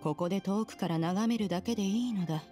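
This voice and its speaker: A proud, aloof immortal, played by a woman, speaking in stately old-fashioned phrasing. Her voice sits at a mid pitch, composed and measured, with soft game music beneath. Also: natural voice. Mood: hushed. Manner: haughty, archaic, composed. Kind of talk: Japanese game dub.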